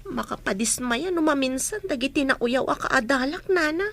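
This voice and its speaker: A dutiful teenage schoolgirl, voiced by a woman in a radio drama. Her voice is high, and she speaks earnestly and politely, with some hesitation. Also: expressive, normal speed, fairly bright tone. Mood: dismayed.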